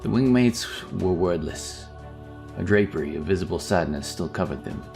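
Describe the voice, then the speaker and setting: A man's voice: deep voice, animated, moderate pace, gravelly. A man reading scripted narration for a fantasy audio story. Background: background music.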